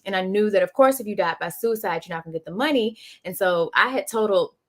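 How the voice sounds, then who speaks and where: A woman's voice: mid-range voice, animated, fast. A woman hosting a podcast, telling a personal story candidly in casual chat. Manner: candid, emotional.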